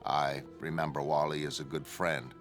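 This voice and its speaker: A man playing a calm, distant, godlike superhuman in a motion comic, speaking in a detached deadpan. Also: extremely low pitch, moderate pace. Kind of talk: motion comic narration acting. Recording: background music under the voice.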